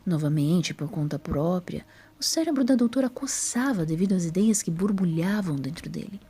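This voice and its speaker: Breathy, mellow Brazilian woman narrator. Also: fairly low pitch, expressive, normal speed. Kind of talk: scripted story narration.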